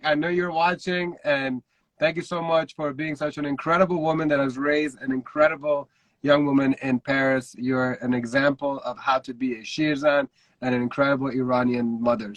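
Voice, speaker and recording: Male host, upbeat and welcoming, mid pitch, dry close sound. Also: steady tone, fairly quick, fairly bright tone, no echo, rough recording. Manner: upbeat, thanks guests, hands over turns. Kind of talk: casual livestream hosting.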